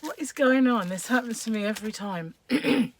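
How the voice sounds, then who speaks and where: A woman hosting a crochet livestream, chatting casually and greeting viewers by name, her voice croaky today with frequent throat clearing. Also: a little high, lively intonation, normal speed. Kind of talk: casual live-stream chat while crocheting.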